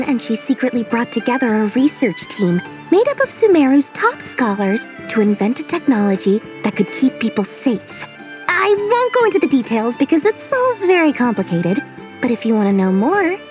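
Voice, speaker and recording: High-pitched, cheeky little merchant. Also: female voice. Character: small, cheeky, money-loving female merchant, shrewd and theatrical. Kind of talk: video game voice acting. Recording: game music behind voice.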